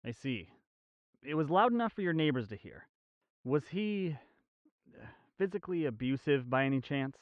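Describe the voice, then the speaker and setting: A man's voice: on the high side, very animated, normal speed, long pauses, dry recording, lo-fi sound. A man playing a polite, dutiful police officer in a dramatic manga dub, courteous and protective. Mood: polite suspicion. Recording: music behind the voice.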